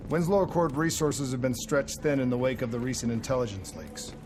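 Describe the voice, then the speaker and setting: An actor playing a level-headed, diplomatic soldier on a covert team, plain and measured, in a video game. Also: male voice, mid-range voice, animated, noisy background, lightly acted. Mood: measured, serious. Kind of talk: video game cutscene acting.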